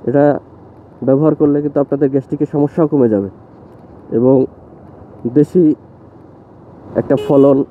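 A man's voice: on the high side, fairly even intonation, moderate pace, long pauses. A young man, talking casually to camera while riding a motorbike on rural roads, loose and opinionated. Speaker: motovlogger. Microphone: phone mounted on motorbike. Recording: thin phone-like sound, faint road noise.

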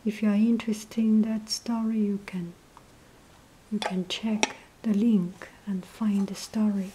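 Woman vlogger, breathy half-whisper, low energy, slow, flat delivery. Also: noisy background. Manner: hesitant, many pauses and fillers. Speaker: tea vlogger. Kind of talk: casual show-and-tell narration. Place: indoors at a table, off camera.

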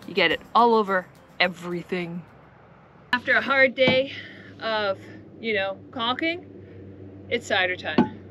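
A blunt, joking woman in her forties records herself with an action camera on a boat deck. Her voice sits at a middle pitch, and a music bed runs under it. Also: animated, measured pace, long pauses, lo-fi sound. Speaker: boat-living vlogger. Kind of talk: casual vlog chat.

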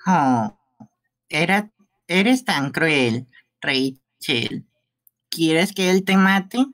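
A performer voicing a creepy, sweet-talking boy killer from a horror game, in a soft, lingering, pleading manner. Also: medium pitch, animated, very slow. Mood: wounded pleading.

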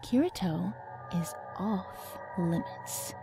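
A woman's voice: lowish voice, expressive, slow pace, music in the background. Woman as a coldly threatening young swordswoman. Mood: cold menace. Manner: controlled, menacing, often hushed. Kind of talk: anime parody dub acting.

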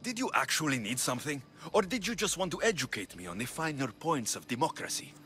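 Blunt, bright-voiced Greek mercenary. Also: male voice, on the high side, sing-song, quick pace. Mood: challenging. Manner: dry, challenging. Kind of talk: dramatic video game dialogue.

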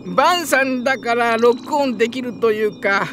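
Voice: mid-range voice, a little fast, a bit bright, slight background noise, natural voice. An actor playing a helpful, fussy robot uncle in a Japanese audio drama, speaking formally and courteously. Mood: patient. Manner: courteous, formal, mildly flustered. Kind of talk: Japanese anime-style audio drama acting.

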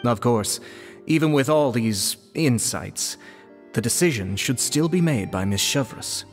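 An actor voicing a courteous, composed young nobleman in a video game, speaking in a formal, measured way. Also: medium pitch, lively intonation, long reverb tail, faint music behind. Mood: polite, calm.

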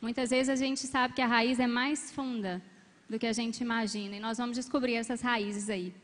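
High-voiced woman preaching in an arena. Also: steady tone, moderate pace. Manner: emphatic, calls "amen" and "hallelujah" to the crowd. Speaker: couple's coach. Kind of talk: testimony and exhortation at a church conference. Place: large arena stage with a crowd. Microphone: handheld mic. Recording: long reverb of a large hall.

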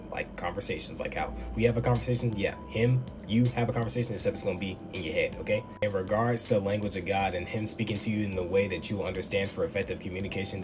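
A man with a lowish voice gives an upbeat faith talk at a rapid clip. He speaks into a phone held close in an indoor room, with music playing clearly behind him. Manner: quick, enthusiastic, conversational. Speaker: Bible teacher. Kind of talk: casual devotional teaching. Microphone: phone camera up close. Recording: background music under voice.

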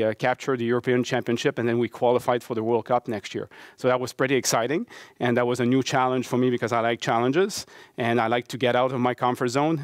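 A middle-aged French-Canadian man gives a talk in a lecture hall, his speech full of halting fillers and restarts. His voice is mid-pitched and recorded dry. He has a Québécois French accent, and English is his second language. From Quebec City, Canada. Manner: halting, many fillers and restarts, earnest and conversational. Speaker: conference speaker, baseball coach and professor. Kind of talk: conference talk with slides.

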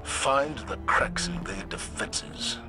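An actor playing a stern, resolute middle-aged male military commander in a video game, measured and authoritative. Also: medium pitch, lively intonation, loud music behind the voice.

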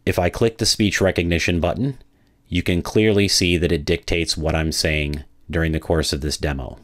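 Deep voiced guy doing a software walkthrough, explains stuff step by step. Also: steady tone, moderate pace. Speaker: software demo presenter. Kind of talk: product walkthrough narration.

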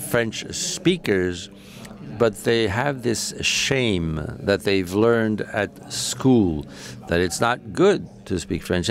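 A man giving live interpretation of a panel discussion, halting, with frequent fillers and self-corrections. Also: on the low side, gravelly. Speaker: simultaneous interpreter.

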